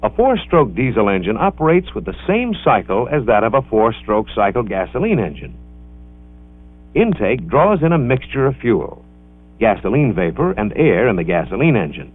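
A man reading scripted educational film narration in a measured, instructional way with careful enunciation, on an old film soundtrack. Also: mid-range voice, big pitch swings, husky, phone-quality sound. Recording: band-limited vintage 16mm film soundtrack.

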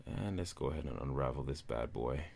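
Man, very deep voice, relaxed upbeat tech reviewer, faint background hum. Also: fairly quick, gravelly. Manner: relaxed, upbeat presenter addressing viewers as guys. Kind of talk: casual unboxing voice-over.

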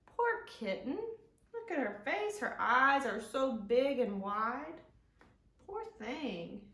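Expressive storytime woman with lively, swooping pitch. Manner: expressive, simple child-directed delivery with drawn-out key words. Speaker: children's librarian, storytime host. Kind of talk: storytime presentation and picture-book read-aloud for young children. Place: living room at home, indoors. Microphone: camera a few feet away. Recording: roomy sound from camera mic.

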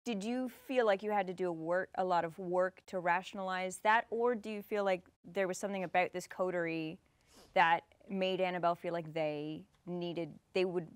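A woman's voice: on the low side, fairly even intonation, moderate pace, smooth, no room sound. A woman in her thirties hosting a casual interview in a studio, asking questions conversationally. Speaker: host, interviewer. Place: indoor studio set, seated.

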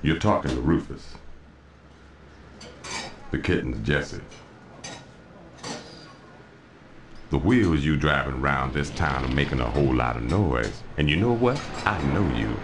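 An actor playing a cocky, menacing seventies street crime boss in a video game cutscene, talking in swaggering jive slang. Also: male voice, rumbling low, animated, normal speed, noisy background.

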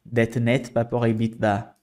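Young guy, teaching stock filters on screen share, steady mid voice, lots of "ok?". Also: medium pitch, normal speed. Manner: explanatory, frequent "ok?" checks and fillers. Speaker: investing channel host. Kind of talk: screen-share finance tutorial.

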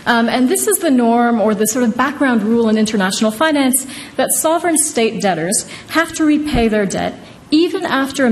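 A woman in her thirties from Indonesia giving an informal academic talk as a law professor, conversational and often checking in with "right?". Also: a little high. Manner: conversational, frequently tags points with "right?". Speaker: law professor, author presenting her book. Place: large wood-panelled courtroom-style hall. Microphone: podium microphone. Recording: reverberant wood-panelled hall, some noise.

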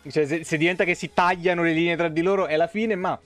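A man's voice: on the high side, steady tone, fast talker, a bit bright, faint music behind. A man in his thirties chatting casually on a live game stream, rambling and swearing freely, close to a desk microphone. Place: small room at home. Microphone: close desk microphone on an arm.

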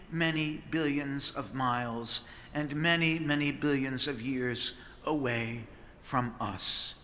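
A middle-aged man, a priest, preaching a sermon from a pulpit microphone with expressive gestures. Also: mid-range voice, slight background noise. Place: large stone church, at the pulpit. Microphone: pulpit microphone on a stand. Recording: long church reverb.

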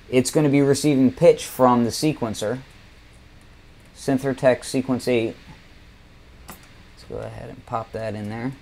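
A man's voice: mid-range voice, mellow. A man presenting a casual hands-on demonstration of audio gear, explaining each step as he does it. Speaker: presenter demonstrating a synthesizer module. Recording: noticeable background noise.

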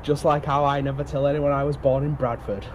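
A man's voice: medium pitch, fairly even intonation, lo-fi sound, a little hiss or hum. A man with a West Yorkshire accent, joking and swearing with his squad during a war game. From West Yorkshire, England. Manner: dry, swears often, quick to laugh. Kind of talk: casual gaming banter.

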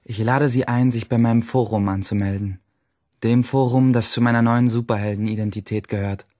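A man's voice: mid-range voice, fairly even intonation, fairly quick, a little mellow, dry recording, clean studio sound, lightly acted. An actor playing a grandiose, self-mocking teenage nerd loner, narrating his own story dramatically in a radio play. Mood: scheming, boastful. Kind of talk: first-person radio play monologue.

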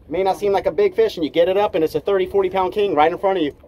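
Man, 40s, high-pitched, upbeat outdoor fishing vlogger on a boat. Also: rapid-fire, warm tone, a little hiss or hum. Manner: upbeat, narrates while fighting fish, exclamations. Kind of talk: excited fishing narration. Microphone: action camera, sometimes selfie at arm's length.

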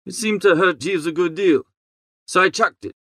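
A man reading an audiobook as a chatty, breezy young English gentleman, full of jaunty old-fashioned upper-class slang. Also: high-pitched, normal speed, dry recording, studio quality. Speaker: narrator. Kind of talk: scripted first-person audiobook narration.

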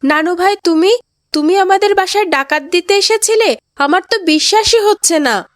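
A woman plays a young grandchild in a cartoon tale. The voice is high, excitable and earnest, with polite phrasing. It is recorded dry, without room sound. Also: moderate pace, dry recording. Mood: upset. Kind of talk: cartoon moral story dialogue.

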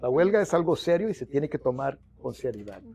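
A man's voice: mid-range voice, a little fast, creaky. A middle-aged Mexican-American man speaking Spanish with English mixed in, telling long stories as a union organizer, with many restarts, on a lapel mic in a small office. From United States. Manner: mixes English into Spanish, many restarts, animated hand gestures. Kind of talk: storytelling interview.

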